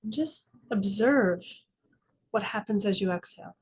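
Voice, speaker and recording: A woman leading a guided meditation as a teacher, calm and instructive, speaking in slow, deliberate phrases with frequent pauses. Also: mid-range voice, husky. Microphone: video call class.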